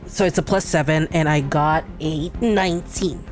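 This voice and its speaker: A woman's voice: deep voice, moderate pace, darkish tone, soft background music. A woman casually describing her moves in the first person as a player in a tabletop game.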